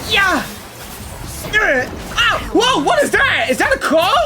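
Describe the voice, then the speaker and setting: A man reacting to a boss fight as he plays, exclaiming and shouting constantly in excitement. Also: very high voice, takes it slowly, over music. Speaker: gaming channel host. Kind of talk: live gameplay reaction.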